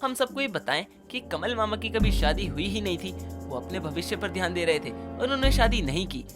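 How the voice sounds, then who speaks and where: A woman's voice: lowish voice, lively intonation, brisk, over music, close to an everyday voice. A woman voicing a determined, cheeky young man in a cartoon story, earnest and persuasive. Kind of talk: Hindi cartoon story voice acting.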